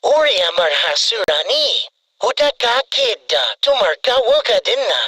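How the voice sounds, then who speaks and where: An actor voicing a doting, flattering male leader who sweet-talks in an exaggerated comic cartoon manner. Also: medium pitch, unhurried, no room sound, exaggerated voice.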